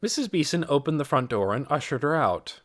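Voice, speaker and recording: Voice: mid-range voice, sing-song, a little fast. A narrator reading a novel aloud as scripted audiobook narration.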